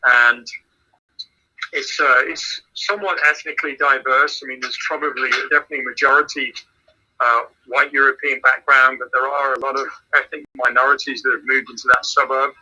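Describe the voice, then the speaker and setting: A man with an English accent speaks in a measured way as a missions pastor. His voice is very bright and a little husky. He often repeats words while gathering his thoughts. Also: medium pitch, steady tone, lo-fi sound. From England. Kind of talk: casual phone interview answers.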